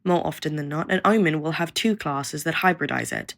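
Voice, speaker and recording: A woman's voice: low-pitched, a little fast, vocal fry, fairly bright tone. Sardonic, impatient actor as a woman researcher. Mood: impatient explaining. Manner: sardonic, impatient, bluntly insulting. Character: curt, condescending young female government monster researcher. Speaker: researcher guiding a new recruit. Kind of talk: audio roleplay acting, addressed to listener.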